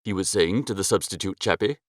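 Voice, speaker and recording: A man narrating a comic first-person story in an expressive, breezy and theatrical way. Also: deep voice, fairly quick, no echo, studio quality. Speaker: audiobook narrator.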